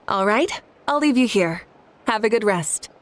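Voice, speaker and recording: A woman playing an easygoing, friendly young woman in a video game, with an amused, relaxed manner. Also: on the high side, slow. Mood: kindly. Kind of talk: video game character acting.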